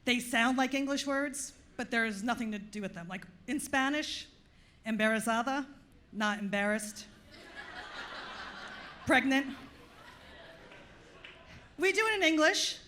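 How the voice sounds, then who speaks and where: A woman with a Long Island New York accent works a comedy club stage, landing dry, self-deprecating punchlines. Her voice sits a little higher than most women's. A faint room hum runs under her mic. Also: expressive, a little slow, pauses often, bright, forceful tone. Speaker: stand-up comedian. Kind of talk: stand-up comedy set.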